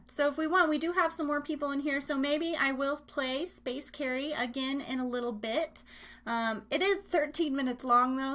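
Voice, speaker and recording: A woman's voice: on the high side, a bit bright. A woman hosting a live online radio show, chatting informally and slangily and speaking straight to her listeners. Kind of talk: casual, interactive live-stream chat and game hosting.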